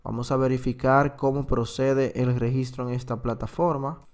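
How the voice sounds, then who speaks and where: A man who is a native Spanish speaker, slowly explaining an app on screen with many filler words. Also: medium pitch, steady tone, a little mellow. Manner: slow, filler-heavy explaining. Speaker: crypto platform reviewer. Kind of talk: screen-recorded walkthrough.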